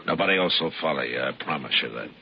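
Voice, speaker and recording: An actor playing a steady, authoritative western town marshal, terse and commanding, in radio drama style. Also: male voice, rumbling low, racing, creaky, slight background noise, full character voice. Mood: urgent, restrained. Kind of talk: 1950s radio western acting. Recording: muffled old radio recording with music.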